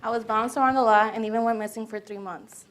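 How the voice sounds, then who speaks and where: A woman's voice: on the high side, steady tone, fast. A young American woman reading a first-person testimony as a plain readers theater monologue. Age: young adult. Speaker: student performer.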